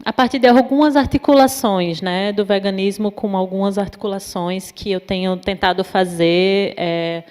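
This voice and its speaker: Woman w/ northeastern brazilian accent giving a talk, lots of "né" and pauses, hall echo. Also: mid-range voice, steady tone, darkish tone. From Natal, northeastern Brazil. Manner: many fillers, thoughtful and hesitant. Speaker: invited speaker, psychologist. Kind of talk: informal lecture talk. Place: university event hall. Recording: long hall reverb.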